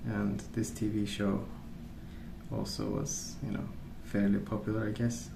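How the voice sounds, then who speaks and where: A man speaking softly in a hushed, unhurried ASMR ramble, musing as he goes. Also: fairly low pitch, sing-song, gravelly, reverberant, background noise. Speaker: ASMR video host. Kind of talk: soft-spoken ASMR rambling while colouring.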